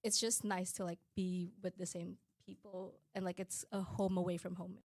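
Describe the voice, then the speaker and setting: A woman's voice: lowish voice, little pitch movement, moderate pace, a little mellow, dry recording. A young woman from Indonesia, a student guest, chatting casually and filling her talk with "like". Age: young adult. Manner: chatty, with frequent "like" fillers. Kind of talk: casual chat.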